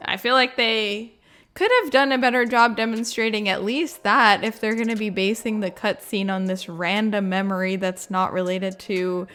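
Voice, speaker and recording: A woman's voice: medium pitch, level tone, moderate pace, fairly bright tone, smooth. A woman giving informal, rambling reaction commentary, sprinkling in "like" as she goes. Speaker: video creator and game lore reviewer.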